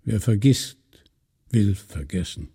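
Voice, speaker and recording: A man narrating and announcing a radio drama in a solemn, measured way with emphatic pauses. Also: on the low side, animated, dark tone, husky. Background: faint music.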